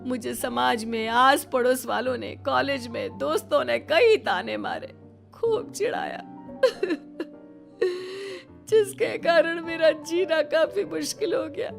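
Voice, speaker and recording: A woman's voice: high voice, takes it slowly, gravelly, fairly bright tone, soft background music, exaggerated voice. A woman voicing an older mother-in-law in a family melodrama, dramatic, plaintive and ashamed. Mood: tearful, pained. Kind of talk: melodramatic Hindi family story acting.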